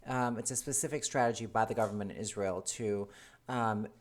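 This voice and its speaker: Earnest woman in her forties, halting. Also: a bass voice, fairly even intonation. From South Africa. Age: 40s. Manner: hesitant, frequent ums and restarts, earnest. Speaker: vlogger. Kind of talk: casual personal monologue to camera.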